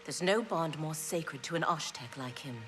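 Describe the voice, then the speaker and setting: A woman's voice: low-pitched, normal speed, over music, lightly acted. An actress playing a confident, pragmatic warrior woman in a video game, with an assured, persuasive delivery. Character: confident, pragmatic female warrior, loyal adviser. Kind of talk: video game cutscene acting.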